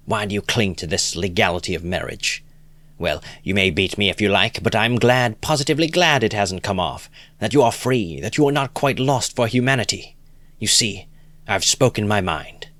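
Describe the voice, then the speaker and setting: A man doing an excitable young idealist. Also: on the low side, normal speed, dry recording, pitched above the performer's own voice. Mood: earnest. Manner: eager, emphatic lecturing. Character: earnest, excitable young male idealist, preachy. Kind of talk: audiobook reading.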